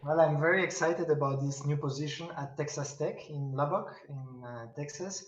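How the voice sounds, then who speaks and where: A middle-aged man talks slowly through his answers, pausing and restarting as he thinks. His voice sits a bit higher than most men's. He sounds distant and roomy through a dull, muffled video-call line. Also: slight background noise. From Spain. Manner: thoughtful, halting, many fillers and restarts. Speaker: pianist and piano professor.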